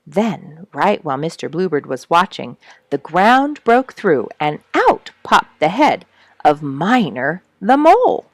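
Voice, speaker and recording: Female children's storyteller: low, slightly gravelly, very lively intonation, expressive read-aloud narration. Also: slow, no room sound.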